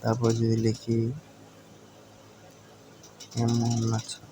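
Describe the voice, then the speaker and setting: A man with a Bangladeshi accent narrates an informal screen tutorial, explaining step by step in a halting way. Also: mid-range voice, completely flat delivery. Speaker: tutorial presenter. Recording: home recording with faint background noise.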